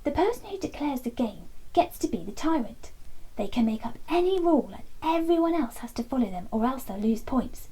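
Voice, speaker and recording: Expressive woman reading a children's bedtime story. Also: high-pitched, brisk, breathy edge, poor audio.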